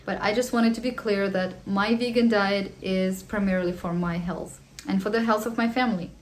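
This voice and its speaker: A woman's voice: medium pitch, steady tone, moderate pace, slight background noise. Ukrainian-accented woman, chatty Q&A vlogger. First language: Russian. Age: 30s. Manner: chatty and earnest, with the odd filler and slightly non-native phrasing. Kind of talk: casual Q&A monologue.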